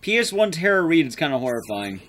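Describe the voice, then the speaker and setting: Young male game streamer, higher register, lively swings in pitch, casual self-mocking live commentary with some swearing. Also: fast talker. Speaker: speedrun streamer. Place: small indoor streaming room. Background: game music.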